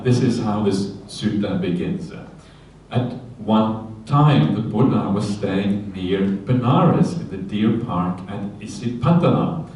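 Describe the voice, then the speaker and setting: A man, a Buddhist monk giving a relaxed dharma talk on a sutta, often checking in with his listeners and adding light humorous asides. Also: on the low side, slight background noise. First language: Norwegian. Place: retreat hall. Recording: very echoey hall, voice from a distance, faint ambience.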